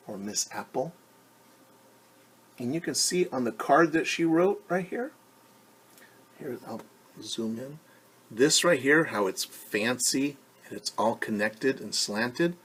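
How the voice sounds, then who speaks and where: A man reads a children's picture book as a teacher would, at a slow, patient pace. His pitch sits in the middle range. He explains and wonders aloud for young listeners. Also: fairly bright tone, husky. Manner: stumbles over and corrects words when reading Spanish. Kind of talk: bilingual story time with commentary.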